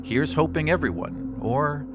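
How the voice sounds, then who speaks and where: A man's voice: fairly high pitch, expressive, unhurried. A man narrating a tabletop roleplaying game as its game master and show host.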